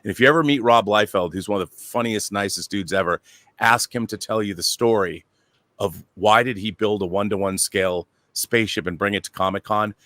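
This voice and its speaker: A man's voice: on the low side, a little fast, fairly bright tone, no echo. A middle-aged man talking casually as a panel guest, telling detailed stories with enthusiasm. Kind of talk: casual anecdotal talk.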